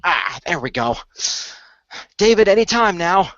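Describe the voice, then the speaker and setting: Radio play hero, man acting composed leader with a sword, formal and measured, forceful bright tone on a dull dry recording. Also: high voice, sing-song, no echo, pitched above the performer's own voice, exaggerated voice. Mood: focused. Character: composed sword-wielding hero, commanding and encouraging young allies.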